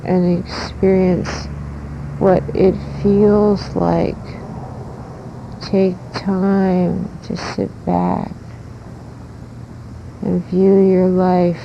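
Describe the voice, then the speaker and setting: A woman speaks as a spiritual teacher in an extremely slow, deliberate way, breaking her thoughts into short phrases. Her delivery stays very even, with a gravelly creak in the voice. It comes from a muffled old cassette with noise and rumble. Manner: deliberate, instructive, halting phrases.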